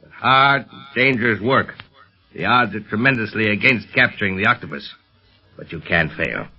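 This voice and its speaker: An American actor playing an earnest, commanding secret police chief in a 1930s radio serial, formal and deliberate in delivery. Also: male voice, lowish voice, expressive, husky, faint music behind. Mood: persuasive, firm. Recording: dull, band-limited 1930s radio recording.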